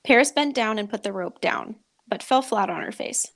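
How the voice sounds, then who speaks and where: A woman's voice: a little high, expressive, moderate pace, vocal fry, a bit bright. A woman podcast host, talking and reading a story aloud in a dramatic way.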